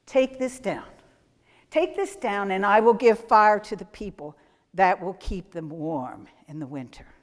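A woman's voice: mid-range voice, animated, unhurried, long reverb tail, natural voice. A woman voicing a female Supreme Being in a children's story, speaking firmly and solemnly. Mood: commanding.

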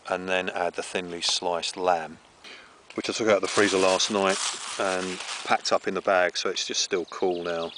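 A middle-aged man chatting casually to the camera as he presents outdoor cooking. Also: deep voice. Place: outdoors on a grassy riverbank under trees. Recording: outdoor camera audio with clear background noise.

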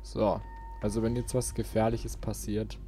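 A man's voice: lowish voice, flat delivery, moderate pace, mellow, faint music behind. A man chatting informally as he hosts a let's play, thinking aloud while he plays. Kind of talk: casual gaming commentary.

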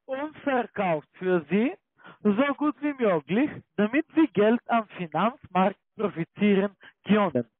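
High-pitched man with foreign accent, phone pitch. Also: no echo. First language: not German. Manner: repetitive, checking in with "okay" and "ja". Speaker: call-centre sales agent. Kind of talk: scripted phone sales pitch. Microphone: telephone. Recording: muffled low-fidelity phone call.

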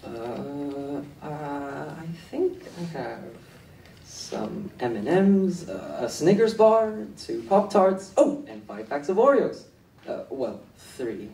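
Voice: mid-range voice, very animated, takes it slowly, gravelly, mellow, a little hiss or hum, full character voice. A grumbling, lazy young hiker played by a teenage student in a school radio drama. The voice swings widely and sarcastically, heard a little far from the mic in an echoey hall. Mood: sheepish. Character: lazy, whiny, snack-loving sarcastic kid hiker. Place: indoor theatre stage. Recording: echoey stage hall, distant microphone.

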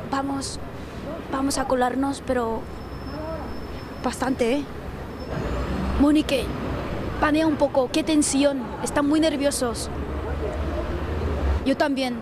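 A high-pitched woman doing playful, mischievous street reporting. She holds a handheld mic on a busy city street. Traffic and crowd noise are clearly audible behind her. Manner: teasing, mischievous. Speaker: comedy reporter.

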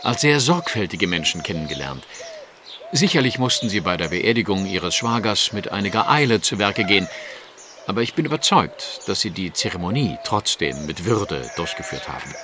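An actor playing a shrewd, courteous Victorian gentleman detective in an audio drama, formal, precise and probing. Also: deep voice, sing-song, moderate pace, background noise. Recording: night-like outdoor ambience, insects, birds.

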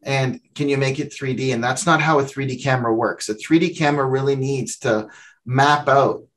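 A middle-aged man on a video call from his home office, calmly walking the listener through a practical explanation. His voice sits at an ordinary middle pitch for a man, and he gestures freely as he talks. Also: normal speed. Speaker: company founder explaining software. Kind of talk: video call interview answer.

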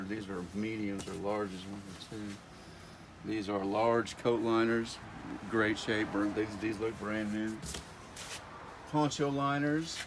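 A middle-aged man walking through a large warehouse, casually listing stock item by item, often repeating himself, recorded on a nearby phone camera. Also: fairly low pitch. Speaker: warehouse tour guide. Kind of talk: walkthrough listing inventory. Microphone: handheld phone camera nearby. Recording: noisy warehouse phone video.

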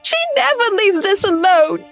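Frightened woman played by an actress for horror radio play: extremely high voice, urgent, faint music behind. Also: lively intonation, measured pace, gravelly, a bit bright, exaggerated voice. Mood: alarmed.

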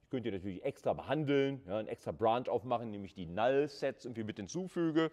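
A man's voice: medium pitch, lively intonation, fast, a little mellow. A man lecturing at a university in an informal, conversational way, thinking aloud and putting questions to students.

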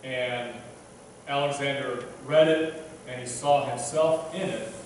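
Man, thirties, preacher, relaxed sermon, restarts and fillers, distant mic, echoey sanctuary, room hum. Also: medium pitch, takes it slowly. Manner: conversational, many restarts and fillers, self-deprecating. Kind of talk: casual sermon. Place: church sanctuary, at a pulpit. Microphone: pulpit microphone, filmed from a distant camera. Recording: echoey church from far off.

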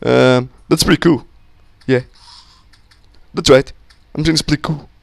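Young male gamer, gravelly voice, a bit sick, close dry mic, rowdy casual commentary. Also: mid-range voice, takes it slowly, dry recording. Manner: excitable, swearing, joking with friends. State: sick, voice a little off. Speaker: gameplay commentator. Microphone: close-up desk mic.

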